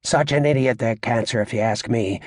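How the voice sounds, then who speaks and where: A man playing a brash, crude stand-up comedian working a club crowd in an audiobook, with a raspy voice and a cocky, sarcastic, showy, needling delivery. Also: mid-range voice, normal speed, no room sound, studio quality, voice pushed down, close to an everyday voice. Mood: mock-aggrieved.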